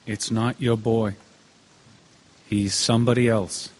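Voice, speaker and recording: An actor playing a reasonable, persistent juror in a radio drama, measured and probing, with a husky voice. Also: male voice, on the low side, flat delivery, a little mellow. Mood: gentle. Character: reasonable, persistent male juror arguing for doubt. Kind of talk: stage-style radio drama acting.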